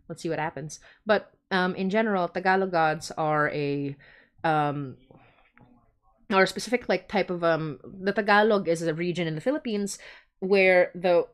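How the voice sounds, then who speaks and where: A woman hosting a casual livestream, chatting informally and often interrupting herself as she goes. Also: fairly low pitch. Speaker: podcast host and creator.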